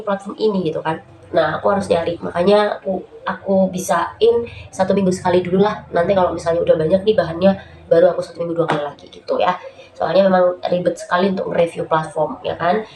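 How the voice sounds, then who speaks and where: A woman with a cold and a stuffy, nasal voice talks her viewers through writing tips in a loose, chatty way, full of fillers. Her pitch sits a little low. The room echoes, and faint noise runs underneath. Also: a little mellow. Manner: chatty, many fillers, addresses viewers as guys. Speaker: YouTube host giving writing tips. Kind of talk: casual tutorial vlog. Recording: roomy echo, faint background noise.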